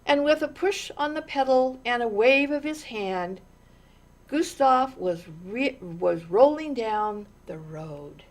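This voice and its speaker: A woman reading a children's story aloud in an expressive, deliberate way, with dramatic pauses and stressed words. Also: a little high. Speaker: storytime reader.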